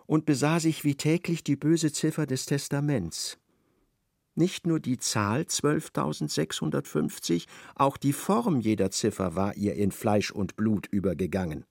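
A man's voice: mid-range voice, gravelly, a little mellow, no room sound, studio quality. A man narrating a literary radio drama.

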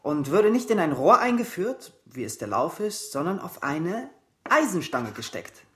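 An actor playing an earnest, eager young apprentice in a radio drama, respectful in manner. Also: male voice, on the high side, sing-song, a little slow, pushed and bright, heavily acted. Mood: earnest explaining. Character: earnest, eager poor teenage apprentice and inventor.

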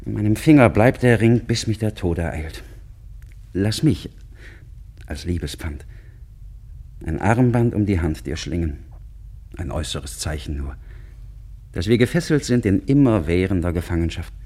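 A devoted, noble young lover in classic radio play style. His voice is deep with a breathy huskiness. He declaims solemnly and ardently. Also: animated, normal speed, pauses often, mellow.

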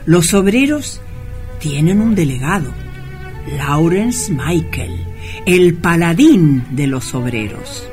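A man's voice: on the high side, animated, slow pace, darkish tone, soft background music. A man acting as a solemn, emphatic narrator of a melodramatic radio play.

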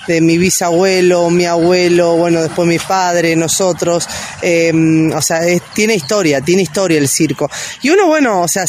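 A woman, chatting casually in an interview with many fillers. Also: fairly low pitch. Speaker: circus performer interviewee.

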